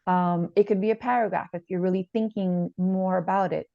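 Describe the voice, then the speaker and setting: A woman playing a calm, supportive family therapist, speaking in a reassuring, slightly hesitant way in a role-played session. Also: on the low side, a little fast, creaky. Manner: reassuring, hesitant, repeats gently. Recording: echoey room, slightly dull sound.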